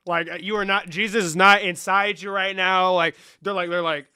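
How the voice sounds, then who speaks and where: A man hosting a livestream, talking casually and mockingly with lots of swearing, jumping between loud outbursts and mumbles. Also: sits high, steady tone, moderate pace, clear, resonant tone. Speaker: livestream host. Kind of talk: casual livestream chat and debate.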